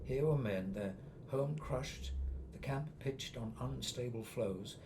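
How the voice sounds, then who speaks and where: A man's voice: medium pitch, takes it slowly, background noise. A man reading a first-person expedition account as scripted narration.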